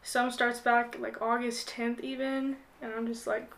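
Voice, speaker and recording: A woman's voice: on the high side, little pitch movement, measured pace, fairly bright tone. A young American woman in her late teens, chatting casually as a podcast guest in a hesitant, rambling way with lots of "like". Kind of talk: casual chat.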